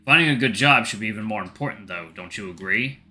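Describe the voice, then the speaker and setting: A man's voice: fairly low pitch, expressive, fast talker, sharp tone, soft background music. A man voicing a stern, disapproving middle-aged father in an audio story, speaking in a curt, probing way.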